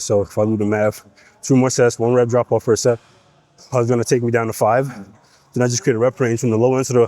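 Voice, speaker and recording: A man's voice: mid-range voice, a little mellow, no room sound. A young man with a cold and a nasal voice, talking bluntly to the camera between sets in a gym. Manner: blunt, profane, pep-talking. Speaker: fitness vlogger. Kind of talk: casual gym vlog talk.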